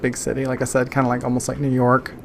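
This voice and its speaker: A woman game master narrating an improvised tabletop game in a casual, halting way, pausing mid-sentence. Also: rumbling low, monotone, vocal fry, a little hiss or hum.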